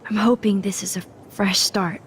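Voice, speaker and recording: An actress playing a shy, self-doubting young photography student in a video game, with a hesitant, understated and wry delivery. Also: female voice, mid-range voice, steady tone, moderate pace, a little hiss or hum, close to an everyday voice. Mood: subdued, earnest. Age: young adult. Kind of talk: naturalistic video game dialogue.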